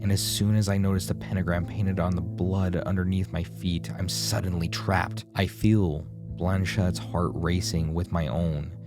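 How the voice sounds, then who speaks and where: Deep-voiced man narrating a horror story. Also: little pitch movement, moderate pace, hardly any pauses. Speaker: video essay narrator. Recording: music behind the voice.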